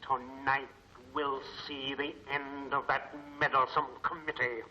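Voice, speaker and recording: Man acting a slow, menacing crime boss. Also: mid-range voice, little pitch movement, close to an everyday voice. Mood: menacing, commanding. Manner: slow, deliberate, commanding. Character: menacing male crime boss ordering henchmen by radio. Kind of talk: 1940s film serial acting. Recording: muffled 1940s film serial soundtrack.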